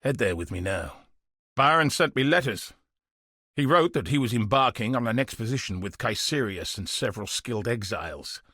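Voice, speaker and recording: An actor playing a gruff, dutiful veteran soldier and tracker in a video game, with a husky voice and a commanding, earnest, formal manner. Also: male voice, mid-range voice, animated, normal speed, pushed and bright, no echo, studio quality. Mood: grave, concerned. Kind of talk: scripted video game dialogue.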